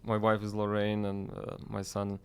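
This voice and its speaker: A man from Russia with a Russian accent, a guest musician giving relaxed, brief answers in a radio interview. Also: fairly low pitch, normal speed, clean tone.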